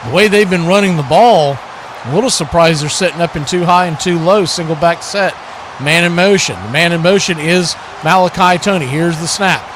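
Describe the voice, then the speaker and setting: High-pitched, bright-voiced male football play-by-play commentator. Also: slight background noise. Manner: excitable, punchy clipped phrases. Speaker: play-by-play commentator on a livestream watch party.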